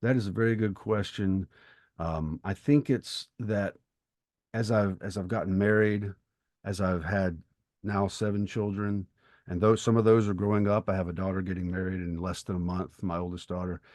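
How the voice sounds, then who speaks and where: A middle-aged man with a deep voice speaks in a very dry recording. He co-hosts a literary podcast and talks reflectively, often stopping to rephrase and work out his thought as he goes. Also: steady tone, normal speed, a little mellow, dry recording. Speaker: podcast co-host and writer.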